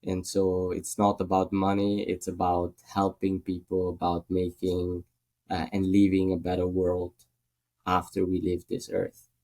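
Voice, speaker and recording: Low, flat-voiced Italian man. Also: measured pace. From Italy. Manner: thoughtful, hesitant with fillers. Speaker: guest. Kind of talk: casual podcast interview.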